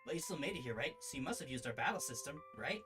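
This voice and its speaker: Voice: medium pitch, expressive, fast, close to an everyday voice. Eager, inquisitive visual novel character, read by a streamer. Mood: curious. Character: curious, awed, friendly visual novel character Shen. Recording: music in the background.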